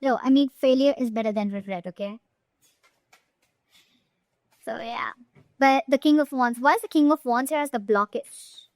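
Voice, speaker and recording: Woman, very high voice, tarot reader, informal and emphatic, frequent tag questions, dry close sound. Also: moderate pace, long pauses, darkish tone, no room sound. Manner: emphatic, checks in often with short tag questions. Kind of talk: informal tarot reading addressed to the viewer.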